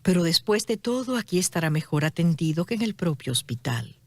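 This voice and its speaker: An actress playing a generous, concerned duchess, gentle but insistent and formal, in a religious radio drama. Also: female voice, lowish voice, big pitch swings, fairly quick, no echo. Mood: compassionate.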